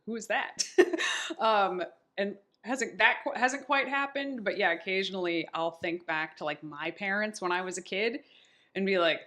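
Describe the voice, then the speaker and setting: A woman's voice: mid-range voice, moderate pace, a bit bright. A woman in her thirties hosting a podcast, talking in a chatty, upbeat way and telling stories. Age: 30s. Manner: chatty and upbeat, tells stories with quoted asides. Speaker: podcast host. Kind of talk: casual chat.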